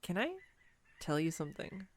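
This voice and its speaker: A woman playing a clumsy, nervous, giddy young woman on a first date in a romantic audio roleplay, rambling awkwardly and self-deprecatingly with halting stumbles. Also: low-pitched, sing-song, a little hiss or hum. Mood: nervous, confiding. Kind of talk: scripted romantic audio roleplay addressed to the listener.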